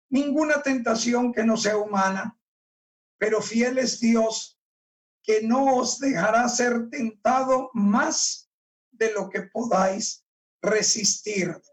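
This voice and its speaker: A male pastor delivers a sermon, reading verses slowly and pressing on each key word. His voice is recorded from a distance in a church, so it sounds a little removed. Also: extremely high pitch. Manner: slow, deliberate, emphatic, stresses key words. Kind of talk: sermon, reading and explaining scripture.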